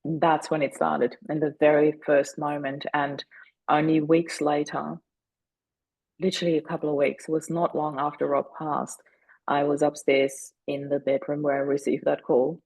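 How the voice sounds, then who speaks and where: A woman in her forties from Austria, a German speaker, who talks as a podcast guest and tells her story earnestly and deliberately, slowing down on key moments. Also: low voice, little pitch movement, gravelly, darkish tone, dry recording, low-quality recording. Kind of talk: reflective storytelling.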